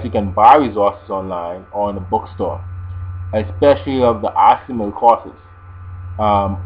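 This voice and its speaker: A man giving casual, explanatory advice to viewers in a language-learning video blog, often repeating his phrases as he goes. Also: on the low side. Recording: dull lo-fi sound with mains hum.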